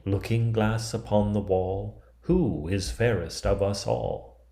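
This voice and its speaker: A man's voice: fairly low pitch, takes it slowly, gravelly, warm tone. A man reading the part of a proud, envious, cruel queen in a storybook, with a commanding manner. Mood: vain, questioning. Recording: roomy, echoing sound.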